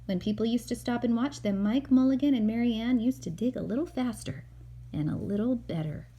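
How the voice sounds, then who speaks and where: A woman's voice: on the high side, a little fast, warm tone, slightly rough. A woman reading a picture book aloud to her young child, with casual asides as she goes.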